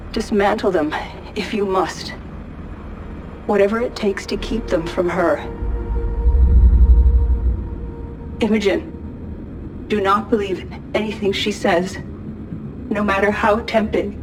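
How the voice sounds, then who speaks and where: A woman's voice: mid-range voice, steady tone, measured pace, pauses often, noisy background, lightly acted. A woman playing a resolute female fleet commander in a game, giving a solemn, urgent recorded message. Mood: pleading, resolute.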